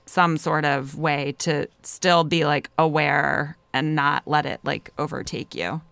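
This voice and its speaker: Smooth-voiced Philadelphia woman in casual chat. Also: deep voice, steady tone, takes it slowly, fairly bright tone, no echo. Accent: Philadelphia American. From Philadelphia, United States. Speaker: podcast guest.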